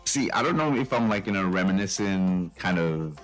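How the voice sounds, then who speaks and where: A man's voice: low voice, animated, normal speed. A man co-hosting a casual music review, talking in a slangy, emphatic, informal way. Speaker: guest co-host of music podcast.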